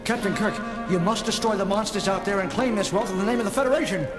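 An actor playing an excitable, quarrelsome male starship crewman with an indignant manner in a 1970s audio drama. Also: sits high, fast talker, lightly acted. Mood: greedy, excited. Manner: indignant, blustering. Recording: reverberant sound with music behind.

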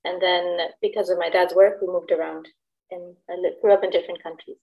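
A woman in her thirties from El Salvador, a podcast guest speaking in a relaxed, laughing way with many fillers over a video call. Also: on the low side, brisk, gravelly, low-quality recording. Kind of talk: casual interview. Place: home room, video call.

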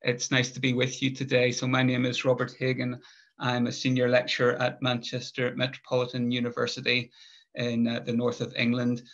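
Northern Irish man, middle-aged, steady flat delivery, halting restarts, muffled video call audio. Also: medium pitch. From Northern Ireland. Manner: measured, thoughtful, with frequent hesitations and restarts. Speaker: conference speaker, lecturer. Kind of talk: academic presentation. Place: home room, indoors.

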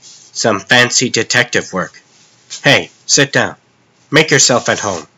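A man playing a calm, weary costumed vigilante in a comic dub, earnest, understated and matter-of-fact. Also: mid-range voice, slow, breathy edge, exaggerated voice, poor audio.

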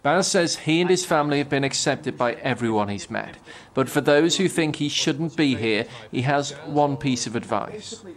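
A man narrating a regional news report in a measured, scripted way. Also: mid-range voice, expressive.

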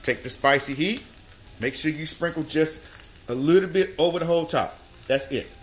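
A folksy man walks through a recipe step by step, repeating himself as he goes, his voice sitting a touch high for a man. He is recorded in an echoey kitchen with faint frying sizzle behind him. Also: moderate pace, slight background noise. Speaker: home cooking show host. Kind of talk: casual cooking demonstration. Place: home kitchen at the stove. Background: oil frying and sizzling in a skillet.

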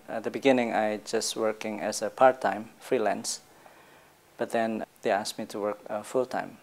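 Flat, gravelly man. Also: lowish voice. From Indonesia. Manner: hesitant, many fillers. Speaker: interviewee. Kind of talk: casual interview answers.

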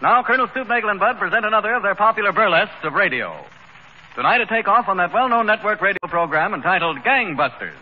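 A man's voice: sits high, lively intonation, fast talker. A man announcing a comedy program in a 1930s radio style. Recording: noisy, muffled 1930s radio recording.

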